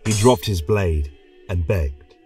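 A man narrating a scripted fantasy tale as a podcast storyteller, solemn, dramatic and measured. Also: deep voice, dark tone, far from the mic. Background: choral music.

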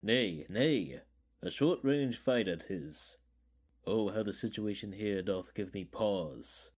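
A man playing a slow, solemn old mentor. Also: fairly low pitch, darkish tone, breathy edge. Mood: grave, foreboding. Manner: measured, archaic theatrical verse. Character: wise old Jedi mentor, calm and solemn. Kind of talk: Shakespearean dramatic reading.

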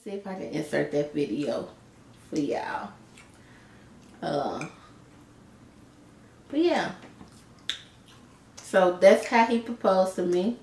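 Casting: young woman vlogger, unhurried animated storyteller, chatty, recorded in a roomy living room. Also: lowish voice, vocal fry, a little hiss or hum. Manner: animated storytelling, chatty, acts out quoted lines. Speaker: vlogger, channel host. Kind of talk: casual storytime chat. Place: living room couch, indoors. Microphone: camera a little way off. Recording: roomy sound, distant camera mic.

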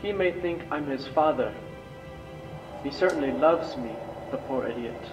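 A man playing a weary, guilt-ridden old scientist in an amateur video game dub, speaking gravely and solemnly. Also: fairly high pitch, normal speed, highs cut off, close to an everyday voice. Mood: weary, rueful. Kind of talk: dramatic video game monologue, amateur voice acting. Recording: echoey, distant voice over game music.

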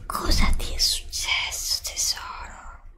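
Whispering woman in a soothing ASMR roleplay. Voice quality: breathy whisper. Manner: slow, soothing reassurance with repeated words. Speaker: ASMR creator role-playing a dentist. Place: indoors at a desk before a green screen. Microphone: Blue Yeti desktop microphone, close up.

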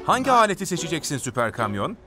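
A man's voice: a little high, huge pitch swings, quick pace, a crowd in the background. A man narrating a children's cartoon in a cheerful, encouraging way, talking to the vehicles he helps. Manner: encouraging, talks to the truck and little cars. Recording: with loud background music.

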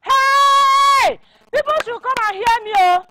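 An actress with a Nigerian accent playing an outraged village woman in a film drama, protesting loudly and repeatedly. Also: very high-pitched, slow pace, heavily acted. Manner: loud, repetitive protesting. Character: outraged village woman denouncing a wicked man.

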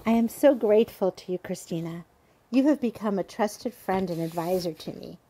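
A woman's voice: medium pitch, normal speed, dark tone, close to an everyday voice, low-quality recording. A woman playing a gullible, effusive customer who trusts her psychic completely, in an audio drama. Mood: grateful, gushing. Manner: earnest, effusive.

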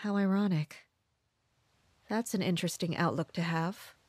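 A woman's voice: fairly low pitch, moderate pace, a little mellow, no room sound, close to an everyday voice. A woman playing a guarded, brooding mercenary leader, close and dry. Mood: guarded, pensive. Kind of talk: audio drama acting with inner monologue.

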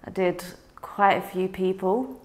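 A woman's voice: medium pitch, unhurried. A young woman being interviewed in a studio, talking frankly and casually with frequent ums and easy laughter. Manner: frank, relaxed, laughs easily, many ums.

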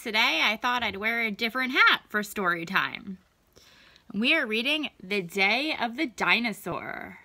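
Young lady reading a picture book to kids, bright voice, takes her time, draws words out. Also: a little high, no room sound. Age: young adult. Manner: expressive, deliberate, drawn-out emphasis. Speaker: children's story time reader. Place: small indoor room at home.

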